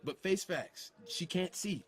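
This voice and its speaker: A man's voice: high-pitched, measured pace, breathy edge. A man playing a cocky, crude young jock. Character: cocky young male football teammate, crude joker. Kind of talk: audio drama acting.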